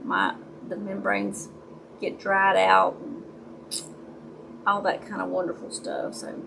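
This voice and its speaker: A woman with a raspy voice, chatting casually with her viewers during a crafting livestream and greeting them by name. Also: medium pitch, big pitch swings, slow pace, background noise. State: raspy voice, dry throat. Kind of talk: casual chat while crafting.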